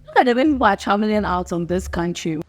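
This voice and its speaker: A woman's voice: mid-range voice, animated, quick pace, soft background music. A young Ghanaian woman with a Ghanaian accent, hosting her own channel and talking earnestly and unscripted straight to camera, often repeating herself and laughing between points. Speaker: channel host.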